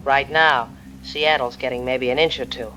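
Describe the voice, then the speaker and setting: A man's voice: medium pitch, steady tone, normal speed, pushed and bright, a little hiss or hum, lightly acted, poor audio. A man playing a plain-spoken expert guest who explains hazards on a news broadcast. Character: practical male expert on ashfall. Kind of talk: broadcast interview.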